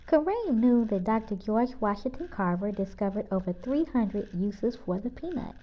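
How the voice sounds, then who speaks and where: A woman's voice: expressive, moderate pace. A woman storyteller reading a children's picture book aloud with expression. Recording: muffled, low-fidelity audio.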